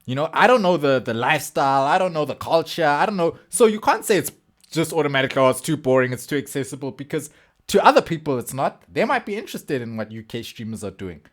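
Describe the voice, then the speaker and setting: A man's voice: on the high side, very animated, fast. A man giving casual, blunt, slangy reaction commentary on video clips. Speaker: reaction streamer.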